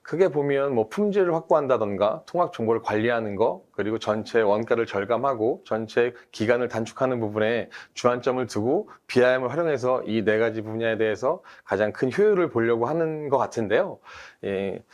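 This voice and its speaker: A man speaks in a mid-range voice, a team leader laying out his points methodically for a webinar audience. He is steady and explanatory, and he often pauses on small fillers as he works through each idea. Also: medium pitch. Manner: measured, methodical, with frequent fillers. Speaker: team leader, industry expert guest.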